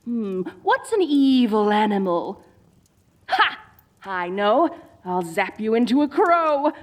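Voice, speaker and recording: A woman's voice: a little high, very animated, takes it slowly. A woman playing a dorky evil sorceress queen in a comedic audio roleplay, theatrical, gloating and petulant, talking straight to the listener. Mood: petulant scheming, then gleeful gloating. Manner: theatrical, gloating, mock-menacing.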